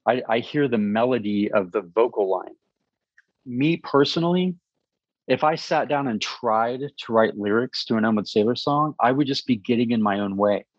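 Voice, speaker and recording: A man in his fifties, a musician answering interview questions in an earnest, thoughtful way, often pausing and restarting. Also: mid-range voice, no room sound. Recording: slightly dull recording.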